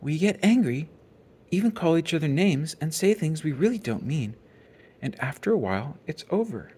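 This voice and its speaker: A stern mother bear from a picture book, as a man reads her. The voice is lifted in pitch and moves up and down a lot. She explains things firmly and plainly. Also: sing-song, normal speed, warm tone, husky. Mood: patient, explaining.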